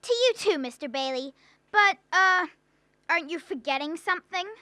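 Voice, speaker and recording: A woman's voice: extremely high pitch, expressive, normal speed, bright, forceful tone, dry recording, heavily acted. A young woman acting a polite, prompting bank teller in a radio drama. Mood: polite, patient. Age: young adult.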